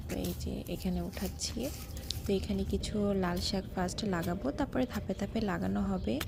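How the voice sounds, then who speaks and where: A woman's voice: on the low side, noisy background. A woman narrating a casual vlog, describing each action as it happens and often repeating herself. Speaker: vlogger.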